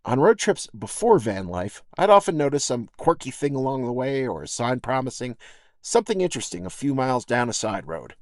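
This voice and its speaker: A man's voice: medium pitch, very animated, fairly quick, no echo. Man narrating a personal essay, close dry sound. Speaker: podcast host and essayist reading own essay.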